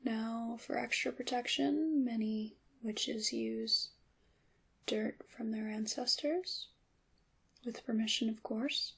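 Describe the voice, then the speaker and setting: A woman playing a gentle, knowing witch in an ASMR roleplay, speaking in a breathy half-whisper with deliberate, slightly formal phrasing. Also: a little high, steady tone, full character voice. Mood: hushed, instructive. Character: gentle, knowing witch teaching her craft. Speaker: ASMR performer.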